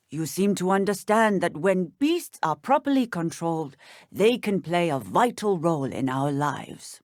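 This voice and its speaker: Strict lady magic teacher for a video game, precise and proper, takes her time, lively intonation. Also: lowish voice, no echo, clean studio sound. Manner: formal, precise, schoolteacherly. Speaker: voice actor. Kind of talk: scripted video game dialogue.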